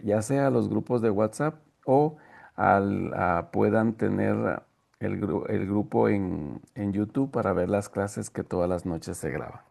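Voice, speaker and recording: A man's voice: on the low side, creaky, dark tone. A man hosting an online interview in a courteous, formal way. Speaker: interviewer and host.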